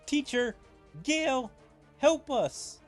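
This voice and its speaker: Man acting out high, excitable young anime character. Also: slow pace, soft background music. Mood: pleading. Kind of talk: dramatic amateur read-aloud of game dialogue.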